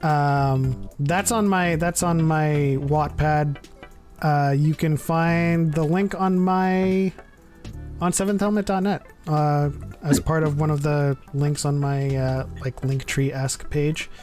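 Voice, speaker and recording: A man's voice: on the high side, steady tone, slow pace, darkish tone, soft background music. A man hosting a podcast, rambling and often restarting his sentences. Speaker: podcast host. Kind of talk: casual chat.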